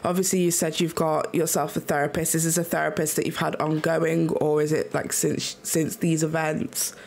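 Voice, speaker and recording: A woman's voice: sits low, flat delivery, moderate pace, vocal fry, darkish tone, a little hiss or hum. A woman interviewing a young guest, a little sick and nasal, earnest and supportive with frequent ums. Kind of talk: video interview.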